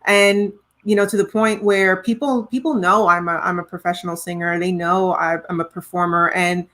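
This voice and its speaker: A woman's voice: fairly low pitch, steady tone, moderate pace, fairly bright tone. A middle-aged woman from Trinidad and Tobago with a Trinidadian accent, speaking earnestly as a guest in an interview, often restarting her sentences. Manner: earnest, reflective, frequent restarts. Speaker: guest, professional singer. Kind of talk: conversational interview.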